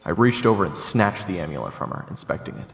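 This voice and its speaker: A man playing a calm, courteous investigator in an audio drama, measured and low-key, with a creaky voice. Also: full character voice. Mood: reflective. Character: calm, courteous male investigator telling his own tale. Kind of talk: audio drama dialogue and first-person narration. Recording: echoey, long reverb, music behind.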